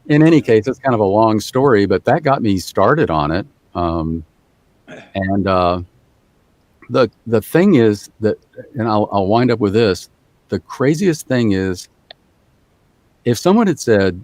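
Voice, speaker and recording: A man's voice: lowish voice, expressive, unhurried, pauses often, darkish tone, no room sound. A man guesting on a podcast, telling a rambling story with many fillers. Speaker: guest voice actor.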